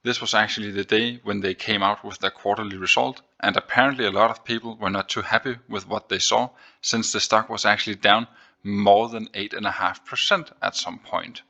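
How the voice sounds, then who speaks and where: A man with a fairly low voice and a strikingly bright, forceful edge presents financial commentary. He moves through his points methodically, one step at a time, like a host guiding viewers across a screen. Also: fairly even intonation, a little fast, vocal fry, lo-fi sound. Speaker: host of an investing video series.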